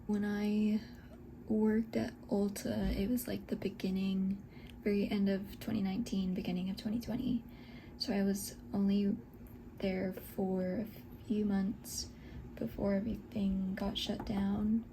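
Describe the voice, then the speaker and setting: A woman in her twenties, born in Scotland, chatting softly in a breathy half-whisper while doing her makeup at home, unhurried and full of little fillers. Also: medium pitch, little pitch movement, slight background noise, poor audio. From Scotland, raised in Texas. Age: 20s. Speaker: esthetician and beauty vlogger. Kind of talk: soft whispered get-ready-with-me chat.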